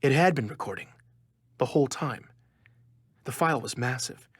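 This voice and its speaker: A man's voice: medium pitch, moderate pace, husky, no room sound, clean studio sound, lightly acted. A man playing a proud, wronged journalist, telling his story in a confiding, wry first-person audio drama narration. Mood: wry, tense. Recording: music under the voice.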